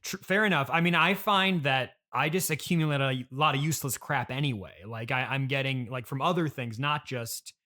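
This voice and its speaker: A man's voice: mid-range voice, normal speed, hardly any pauses, sharp tone. A man hosting a casual comedy interview, polite, hesitant and mildly bemused. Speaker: podcast host, interviewer.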